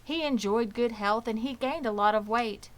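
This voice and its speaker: A woman reading a children's story aloud as scripted narration, with expressive storytelling delivery. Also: on the high side, moderate pace. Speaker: audiobook reader.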